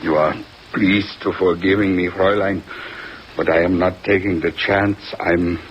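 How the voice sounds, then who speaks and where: An actor playing a flustered, pompous European diamond dealer in an old radio drama, with a thick Germanic accent and fussy broken English. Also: male voice, low voice, measured pace. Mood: plaintive, stubborn. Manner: broken grammar, fussy, protesting. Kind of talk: old-time radio detective drama acting. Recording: dull, noisy vintage radio recording, steady rain.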